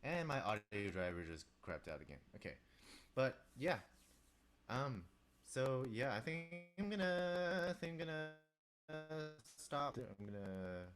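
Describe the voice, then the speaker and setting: Very low-voiced young man rambling. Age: young adult. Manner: rambling, self-talk with restarts and asides. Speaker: composer streaming live. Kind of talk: casual live-stream commentary while composing. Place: small room indoors. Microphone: desk microphone, wearing headphones.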